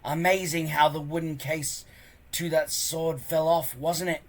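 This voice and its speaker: A reader voicing a boastful, contemptuous boy bully in a children's storybook, loud and sneering. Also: medium pitch, steady tone, measured pace, voice pushed up, natural voice. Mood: taunting.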